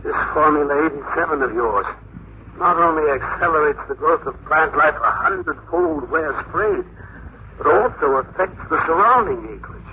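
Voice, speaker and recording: Grave older scientist, tinny old radio. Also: male voice, on the high side, lively intonation, moderate pace, a little hiss or hum. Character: earnest older male scientist, a doctor and father. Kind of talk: 1950s radio drama acting.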